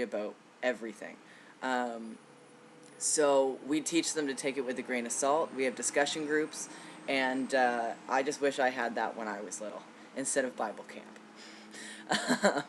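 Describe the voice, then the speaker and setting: A man in his thirties giving a casual personal video update, relaxed and reflective, with frequent fillers and soft laughs. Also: mid-range voice, a little hiss or hum. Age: 30s. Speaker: vlogger.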